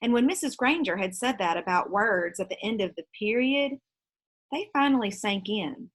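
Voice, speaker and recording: A woman with a medium-pitched voice reads to children as their teacher. She moves between storybook narration and plain explanation, giving reminders along the way. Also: animated, normal speed, slightly rough. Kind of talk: children's book read-aloud with explanations to pupils.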